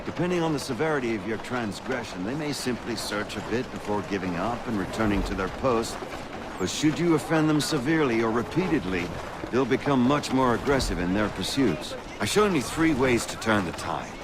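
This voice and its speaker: An actor playing an affable, wry colonial rebel mentor who explains things in a video game cutscene. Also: male voice, medium pitch, lively intonation, a little fast, noisy background, close to an everyday voice. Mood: explaining. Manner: wry, instructive.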